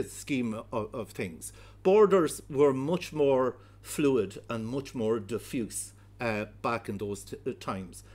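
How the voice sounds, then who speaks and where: Irish male lecturer, 50s, medium-pitched voice, discursive and hesitant, addressing a history talk. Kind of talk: public lecture with slides. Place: darkened heritage centre auditorium.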